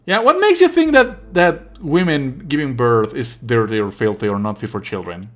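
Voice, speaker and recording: Sarcastic, animated male game streamer. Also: medium pitch, normal speed. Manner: sarcastic, mocking. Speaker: livestreamer playing a game. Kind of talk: casual let's-play commentary. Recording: faint game music behind. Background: game music.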